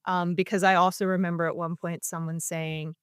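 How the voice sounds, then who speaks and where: A woman with a fairly low voice talks as a guest, candid and self-deprecating, laughing through her stories. The sound is dry and close, with no room echo. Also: flat delivery, brisk, clean tone. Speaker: podcast guest, actor. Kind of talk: casual interview conversation.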